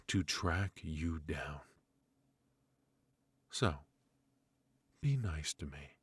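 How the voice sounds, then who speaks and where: A man playing a ruthless, teasing mafia boss in a noir ASMR roleplay, unhurried and menacing, with long pauses, close on the microphone. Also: extremely low pitch, slightly rough, no room sound, exaggerated voice. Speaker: voice actor. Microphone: close microphone, dry.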